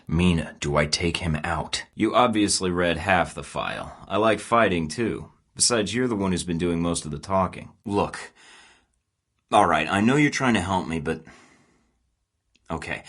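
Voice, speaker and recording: A man's voice: sits low, a bit bright. An actor playing a terse, dry-witted male spy agent in game voice lines. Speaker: video game character.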